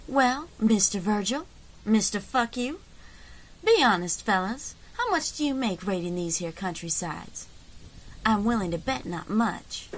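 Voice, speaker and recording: A woman's voice: mid-range voice, expressive, a little slow, rough recording. A woman playing a shrewd, unflappable frontier scout leader in an audio drama, with a wry, persuasive drawl. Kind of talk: dramatic audio fiction acting.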